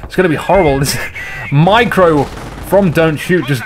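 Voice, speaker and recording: Jokey man, slightly hoarse. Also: fairly high pitch, normal speed. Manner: rambling, jokey, chats to viewers. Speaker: game commentator. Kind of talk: casual replay commentary.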